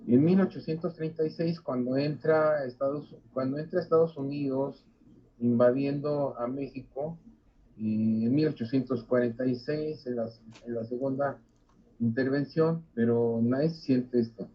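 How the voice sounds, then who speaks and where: An older man giving a university lecture, halting with frequent fillers and restarts. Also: medium pitch. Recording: dull lo-fi recording.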